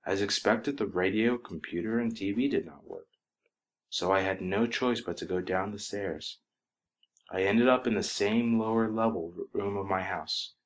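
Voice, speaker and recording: A man reading a scripted horror story as its narrator, in an ominous, dramatic manner that can drop to a hush. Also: fairly low pitch, normal speed.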